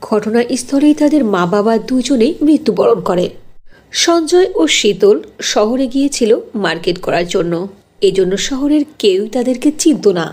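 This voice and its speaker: A woman's voice: a little high, darkish tone. A woman narrating a scripted fairy tale as a storyteller.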